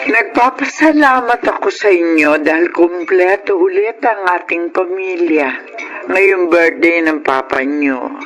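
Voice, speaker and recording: A man's voice: sits high, expressive, a little slow, a bit bright, soft background music, rough recording. A man playing a parent in a Filipino radio family drama. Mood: grateful. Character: parent at a family birthday gathering.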